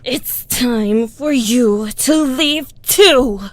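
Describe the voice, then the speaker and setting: Slow-talking deranged murderous stepmother. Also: a little high, slight background noise. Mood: frenzied. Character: sweetly menacing, unhinged murderous stepmother nurse. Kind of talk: horror animation character voice.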